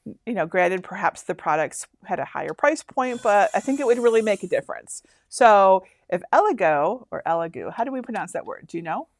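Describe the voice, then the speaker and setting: A woman in her fifties from Michigan, chatting casually through a live stream. She rambles and talks in a comfortable middle pitch, and her voice sounds dry and close. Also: big pitch swings, fairly quick, no echo. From Michigan, USA. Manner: chatty, rambling, laughs often. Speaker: host.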